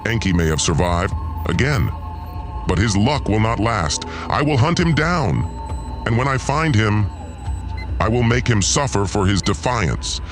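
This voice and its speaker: A man's voice: on the low side, big pitch swings, unhurried, over music. A man playing a cold, vengeful usurper lord in a sci-fi audio drama, pompous and menacing, narrating his own schemes theatrically. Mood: cold, gloating. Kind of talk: first-person audio drama narration and dialogue.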